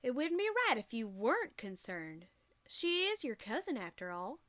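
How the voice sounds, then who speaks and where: Woman playing a country farm pony, no-nonsense, kindly, high voice, lilting pitch, cartoon audio drama. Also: sing-song, moderate pace, fairly bright tone. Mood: folksy firmness. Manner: folksy, plain-spoken.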